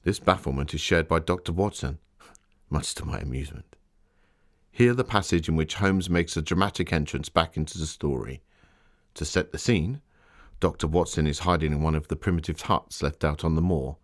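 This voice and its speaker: A very low-voiced gentleman author, acted by a man, introduces his own tale for an exhibit. He is genteel and measured, a storyteller setting each scene with care. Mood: wryly amused. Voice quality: deep. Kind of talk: scripted museum exhibit narration, in character.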